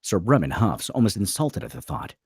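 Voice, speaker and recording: Animated husky man narrating a script. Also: on the low side, a little fast, dry recording, studio quality. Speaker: narrator reading stage directions. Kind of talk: dramatic scripted narration at a table read.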